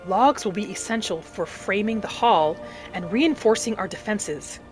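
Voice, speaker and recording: A woman voicing a vengeful young orphaned vampire hunter, narrating a video game in character with earnest drama and breathy asides. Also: medium pitch, lively intonation, moderate pace, lightly acted. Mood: determined. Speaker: roleplay gamer narrating in character. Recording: music behind the voice. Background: game music.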